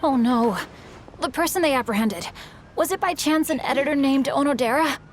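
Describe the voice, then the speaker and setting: A courteous young male swordsman, voiced by a woman, formal and earnest in every word. The voice sits a little high for a young man. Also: moderate pace, soft background music, close to an everyday voice. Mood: urgent.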